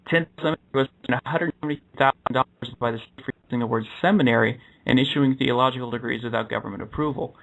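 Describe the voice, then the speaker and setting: A man hosting a radio talk show, earnestly reading through cases and interviewing a guest, often pausing with uh and correcting himself. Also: medium pitch, vocal fry, fairly bright tone. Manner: earnest, with frequent uh's and self-corrections. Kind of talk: reading case summaries and interviewing. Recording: dull lo-fi broadcast audio.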